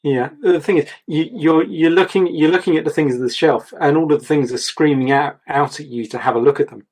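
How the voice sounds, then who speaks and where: A man reviewing an artist's portfolio, talking in a rambling, repetitive way, his voice going a little croaky. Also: fairly high pitch, fairly quick, a little mellow. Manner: rambling, repeats words, gives advice. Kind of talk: casual mentoring chat.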